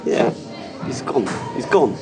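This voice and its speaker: A man's voice: mid-range voice, very animated, slow pace, a crowd in the background. Rambling man, music behind. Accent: London English. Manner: rambling, stumbling banter. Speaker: podcast co-host. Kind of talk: casual pub chat. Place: busy pub.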